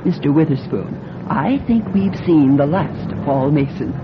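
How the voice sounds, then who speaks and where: An actress playing a gracious, motherly married lady in a radio drama, gentle and reassuring. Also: expressive, moderate pace. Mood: friendly. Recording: dull, noisy old radio recording, vehicle rumble.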